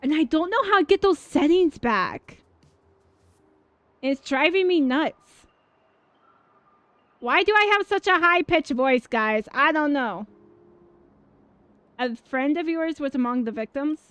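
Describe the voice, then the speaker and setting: A woman streaming a game, chatting casually with viewers, excitable and swearing freely. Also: high voice, slow, pauses often, dry recording. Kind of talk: casual livestream chat over gameplay. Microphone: dynamic mic. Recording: dull mic with faint game music.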